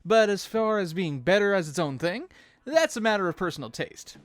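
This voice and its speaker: A man co-hosting a chatty fan commentary on an animated episode, bantering in an animated way. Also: high-pitched, moderate pace, dry recording. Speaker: co-host of fan commentary video.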